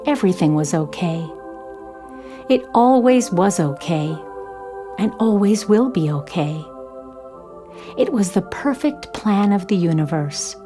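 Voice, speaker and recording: A woman narrating a scripted children's story in an expressive storytelling manner. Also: medium pitch.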